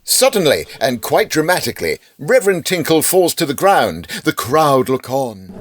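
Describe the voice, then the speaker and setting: A man's voice: fairly high pitch, very animated, normal speed, dry recording. A man with an English accent giving dramatic, scripted narration for a comic radio play.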